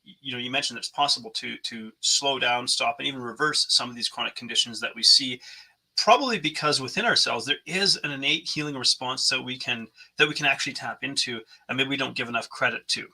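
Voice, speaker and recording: A man's voice: mid-range voice, fast talker, creaky, bright, forceful tone, breathy edge, low-quality recording. A man hosting a podcast interview, talking in a thoughtful, searching way with frequent restarts. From North America. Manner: thoughtful, many restarts and fillers. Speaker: podcast host. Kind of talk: conversational interview.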